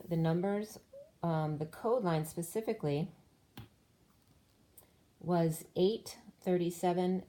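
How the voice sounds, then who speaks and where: A woman's voice: sits low, warm tone. A woman giving a solo spiritual tarot reading, explaining cards and numbers one by one while losing her voice. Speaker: tarot reader.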